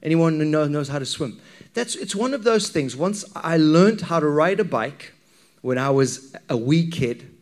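A man's voice: fairly high pitch, animated, moderate pace. A man with a Zimbabwean accent preaching a sermon to a congregation in a conversational, questioning manner. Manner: conversational, engages listeners with questions and anecdotes.

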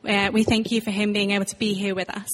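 Woman leading prayer in church, flat steady voice, faint background noise. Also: medium pitch, fast. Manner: steady, even prayer cadence. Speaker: prayer leader. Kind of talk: spoken prayer.

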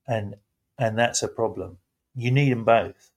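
A man hosting a relaxed faith-based chat, talking reflectively with gentle emphasis. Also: fairly low pitch, measured pace.

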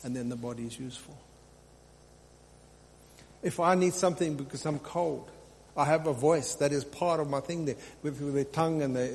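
Guy preaching a sermon in a big echoey hall, mid pitch, bit of hum, repeats himself to drive it home. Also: a little mellow. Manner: exhorting, repeats phrases for emphasis. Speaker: pastor preaching to a congregation. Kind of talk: sermon, extemporaneous teaching. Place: large reverberant room, live preaching. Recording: hall reverb, faint hum and noise.

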